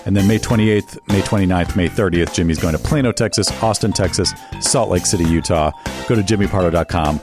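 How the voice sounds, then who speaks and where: A man's voice: low-pitched, a little fast, over music. A man co-hosting a comedy podcast, reading tour dates and making dry wisecracks. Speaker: podcast co-host. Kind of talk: reading show announcements, casual chat.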